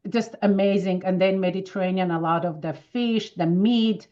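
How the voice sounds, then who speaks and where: A woman in her fifties from Armenia, speaking English with an Armenian accent, telling lively stories as an interview guest. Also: mid-range voice, moderate pace, muffled recording. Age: 50s. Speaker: wellness expert guest. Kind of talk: casual interview answers.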